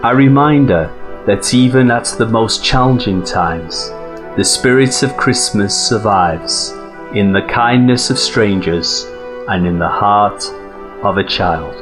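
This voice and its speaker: Man, scripted narration, very slow pace, guitar music underneath. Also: fairly low pitch. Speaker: host and narrator. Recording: music playing behind. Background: plucked guitar music.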